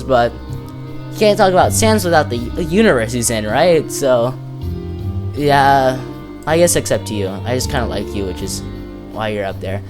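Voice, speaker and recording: A casual speaker giving unscripted, rambling commentary, thinking aloud with slangy, hesitant phrasing. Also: mid-range voice. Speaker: video creator presenting a character ranking. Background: music, plucked guitar.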